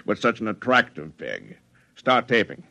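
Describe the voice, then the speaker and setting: An actor playing a crude, smug, domineering fat man in an old-time radio drama, gruff and bossy. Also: lowish voice, sing-song, normal speed, bright, forceful tone, full character voice. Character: bossy, conceited, crude fat man who runs the machine.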